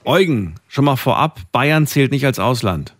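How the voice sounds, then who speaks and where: A man hosting a late-night radio call-in show in German, chatty and given to laughing with his callers. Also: moderate pace. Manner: chatty, laughs often, lively pitch swings. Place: radio studio.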